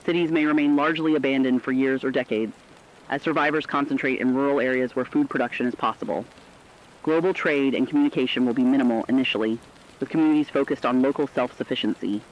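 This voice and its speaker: A man's voice: mid-range voice, natural voice. Solemn apocalypse radio commentator played by a man, lo-fi. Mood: solemn, reflective. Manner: earnest, philosophical, deliberate. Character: solemn, reflective male radio commentator addressing apocalypse survivors. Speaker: radio co-host. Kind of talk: scripted reflective radio commentary.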